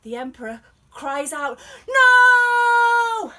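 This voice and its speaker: A woman reading a children's storybook expressively as a storyteller, dramatic and varied, with hushed passages. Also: on the high side, slow pace, slightly rough.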